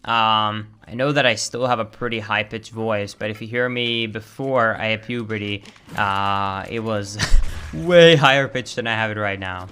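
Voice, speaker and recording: A young man with a Swedish accent, chatting casually and reacting loudly while he streams a game. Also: on the low side, moderate pace, slight background noise. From Sweden. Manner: casual, chatty, swears and flares up over game lag. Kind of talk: live-stream gameplay commentary.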